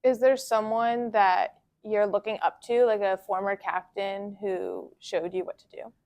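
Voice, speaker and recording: A woman's voice: mid-range voice, steady tone, measured pace, darkish tone. A woman interviewing a student, asking casual, encouraging follow-up questions.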